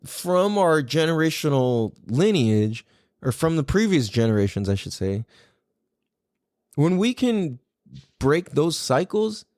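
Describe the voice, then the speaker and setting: A man's voice: medium pitch, darkish tone, no echo, clean studio sound. A man hosting a podcast in a casual, rambling, opinionated way, speaking animatedly and swearing freely. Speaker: podcast host.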